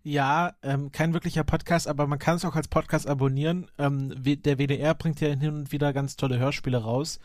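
A man's voice: fairly high pitch, little pitch movement, brisk, few pauses, a little mellow, no echo, clean studio sound. A man talking casually and enthusiastically as a podcast guest, rambling a little and often hesitating mid-sentence. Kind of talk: enthusiastic casual talk about animated series.

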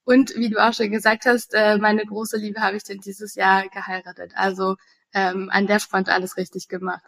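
A woman from Berlin, speaking as a podcast guest at an ordinary pitch. She talks in a relaxed, easygoing way, with frequent fillers and small laughs. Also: normal speed, creaky. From Berlin, Germany. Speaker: guest, wedding agency owner. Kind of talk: podcast interview.

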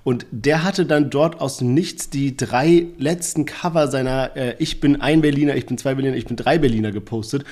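Man, podcast co-host, casual rap chat, loose and rambling, middle pitch. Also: moderate pace, hardly any pauses, darkish tone. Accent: Palatinate German. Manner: loose, filler-heavy, hedging.

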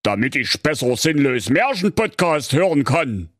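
A man voicing a bossy, menacing big bad wolf disguised as a lifeguard, in a drawn-out, mock-stern storybook delivery. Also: fairly high pitch, very animated, a bit bright, dry recording, clean studio sound, pitched above the performer's own voice. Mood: sly.

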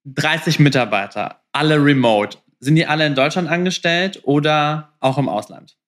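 A man's voice: fairly high pitch, animated, moderate pace, a bit bright. A man hosting a podcast, interviewing in a casual, colloquial way with English phrases mixed in. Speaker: podcast host.

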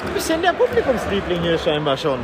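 Man, high voice, excited live match reporting, casual podcast style, loud football crowd around him, outdoor stadium recording. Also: fairly quick. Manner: excited, raised voice over stadium noise. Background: loud cheering football crowd.

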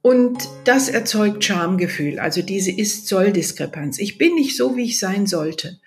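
A woman's voice: mid-range voice, expressive, unhurried, soft background music. A woman speaking as an expert in an interview, explaining emphatically and building points through repetition.

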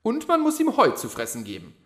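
An actor playing a rich, breezy and generous royal brother in a fairy-tale radio play, with a theatrical, exclamatory delivery. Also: male voice, high-pitched, huge pitch swings, brisk, fairly bright tone, exaggerated voice. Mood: showy, instructing.

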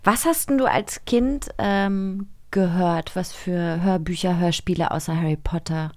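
A woman's voice: on the low side, a little slow, a little mellow, no echo. Casual female host, close dry sound. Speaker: host, interviewer. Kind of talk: casual chat.

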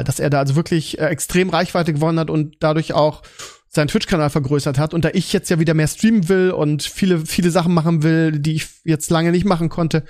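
German man with a higher-pitched voice. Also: a little mellow. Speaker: podcast host. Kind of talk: casual gaming podcast chat.

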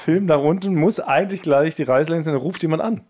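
A man's voice: a little high, quick pace, darkish tone. A man chatting casually as a podcast host, riffing in a playful, mock-serious way. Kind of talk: casual, joking podcast chat.

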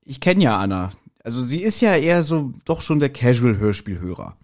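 A man's voice: mid-range voice, very animated, a little fast, a little mellow. A man co-hosting a podcast in speech, chatting casually with a jokey, rambling manner. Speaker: podcast co-host.

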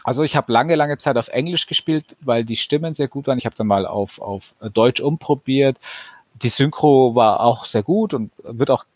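A man co-hosting a casual podcast chat, enthusiastic and quick to swear, often restarting his sentences. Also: medium pitch, darkish tone, no echo. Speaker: podcast co-host.

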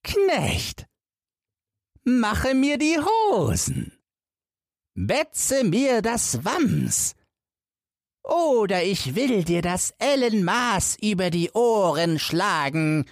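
A man voicing a boastful little tailor king from a fairy tale, commanding and drawn-out as he talks in his sleep. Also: dry recording, clean studio sound, full character voice. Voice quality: bright, high-pitched put-on voice.

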